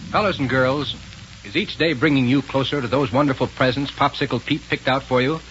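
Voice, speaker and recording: A man with an American accent as a 1930s radio announcer, pitching to children. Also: brisk. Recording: muffled, noisy 1930s radio recording.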